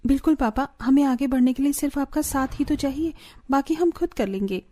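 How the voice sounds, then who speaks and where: Lady voicing young village bahu in a cartoon, high soft-toned voice, earnest and respectful. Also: animated, fairly quick. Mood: determined. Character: hardworking, dutiful young daughters-in-law in a village family. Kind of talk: Hindi moral-story cartoon dialogue.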